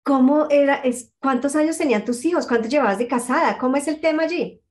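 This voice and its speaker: A woman hosts an interview with lively enthusiasm, firing off several questions in a row. Her voice sits high in pitch. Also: fairly quick. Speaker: host. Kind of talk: conversational interview.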